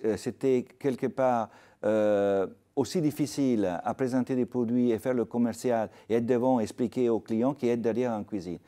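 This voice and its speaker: An older man from Italy with an Italian accent, a restaurateur telling stories in a TV interview, halting with many fillers. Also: mid-range voice, mellow. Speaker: restaurateur guest. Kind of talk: TV interview, anecdotal.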